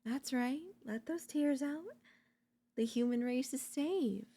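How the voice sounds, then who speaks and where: Slow-talking obsessive yandere woman, acted by a woman. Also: sits high, warm tone, heavily acted. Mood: gloating, tender. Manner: theatrical, taunting, swinging between intimate murmurs and intense outbursts. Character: obsessive, unhinged yandere woman, mocking and seductive. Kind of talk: dark ASMR audio roleplay acting.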